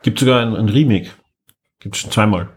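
Slightly husky man explaining casually. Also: on the low side, moderate pace. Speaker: podcast co-host. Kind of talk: casual chat.

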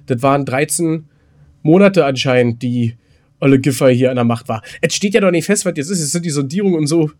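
A man's voice: fairly high pitch, a little mellow. Rambling man, casual podcast host. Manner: rambling, halting, repeats words mid-sentence. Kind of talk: casual chat between co-hosts.

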